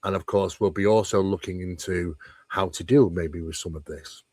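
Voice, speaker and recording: A man with a fairly low voice talks like a researcher being interviewed. He explains things conversationally and at length, leaning on key words and posing rhetorical questions. The sound is close and dry, with very little room to it. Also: moderate pace, darkish tone, no echo. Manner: emphatic, discursive, poses rhetorical questions. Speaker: interview guest, paranormal researcher. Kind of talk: conversational explanation of paranormal topics.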